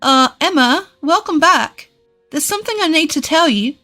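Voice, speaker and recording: Woman playing a high-voiced, hesitant female friend. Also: lively intonation, moderate pace, bright, forceful tone, faint music behind. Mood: concerned. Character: concerned young female friend breaking awkward news.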